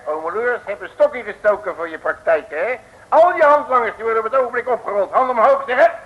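An actor playing a brash, jovial detective in an old comic radio serial, cocky and folksy. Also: male voice, extremely high pitch, lively intonation, fast, slightly rough, highs cut off, heavily acted. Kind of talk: 1950s-60s comic radio play acting. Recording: old mid-century radio recording.

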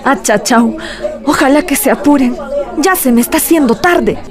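Anxious high-voiced wife. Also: female voice, lively intonation, moderate pace. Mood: anxious, hurried. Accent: Peruvian Andean. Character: anxious wife in a hurry, afraid of husband. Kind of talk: radio soap opera acting.

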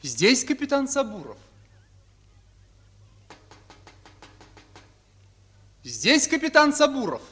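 An actor playing a chatty young soldier devoted to his captain in a Soviet radio drama, animated and plain-spoken. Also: very high voice, moderate pace, exaggerated voice. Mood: excited.

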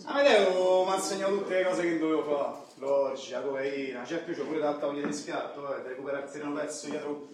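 An actor playing a brash, boastful young bodybuilder and ex-dealer from the Roman outskirts, confessing in emphatic street slang with a Roman accent. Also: male voice, on the high side, a little fast, natural voice. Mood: confessional, subdued. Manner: colloquial, emphatic, punctuated with rhetorical tags. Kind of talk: theatrical monologue in street slang. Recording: echoey hall, distant mic, audience noise.

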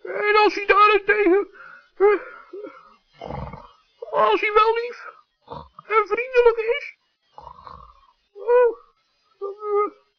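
Tiny male gnome, extremely high squeaky voice, naive, hesitant, children's radio play, muffled old recording. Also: little pitch movement, very slow, gravelly, long pauses, exaggerated voice. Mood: worried dithering. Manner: childlike, dithering, earnest. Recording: dull 1960s radio recording.

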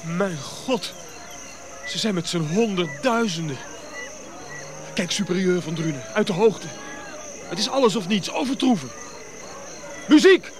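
An actor playing a pompous, grumbling, imperious major general in a radio drama. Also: male voice, high voice, expressive, unhurried, pauses often, noisy background. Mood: alarmed, commanding.

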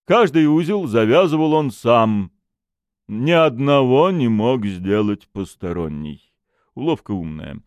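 A man's voice: mid-range voice, big pitch swings, measured pace, dry recording, clean studio sound, pitched above the performer's own voice. A man voicing a haughty, self-assured expert in criminology for an audiobook, lecturing with a pompous drawl. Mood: confident. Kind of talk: audiobook character acting.

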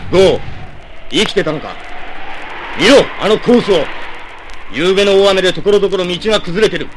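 A man's voice: high voice, lively intonation, fairly quick, very noisy. A man playing a sly, scheming rival stunt racer in a 1960s cartoon audio drama. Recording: old flexi disc recording.

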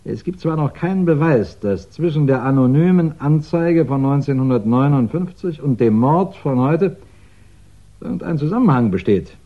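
An actor playing a courteous, probing police inspector in a 1960s radio crime drama, speaking deliberately with thoughtful pauses. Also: male voice, a little high, expressive. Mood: pondering, probing. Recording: dull, band-limited 1960s radio recording.

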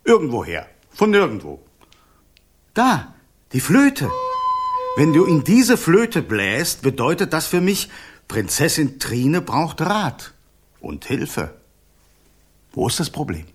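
An actor in a radio play voicing an enchanted golden snake king, courteous, pompous and easily indignant, with a husky, breathy voice. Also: on the high side, huge pitch swings, slow pace, pauses often, a little hiss or hum, full character voice. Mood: courteous, grand. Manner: theatrical, pompous, wheedling.

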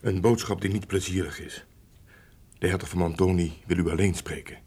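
An actor playing a loyal, honest manservant in a formal radio play, speaking in a grave, sombre and measured manner. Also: male voice, low voice, steady tone, a little mellow, breathy edge. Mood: respectful. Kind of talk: Dutch radio play acting, formal narration.

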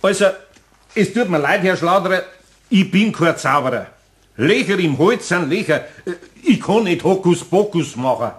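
Older Bavarian craftsman, homely and plain-spoken, good-natured grumbler, fairly high expressive voice, children's radio drama. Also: moderate pace. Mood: exasperated. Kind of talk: German children's radio play.

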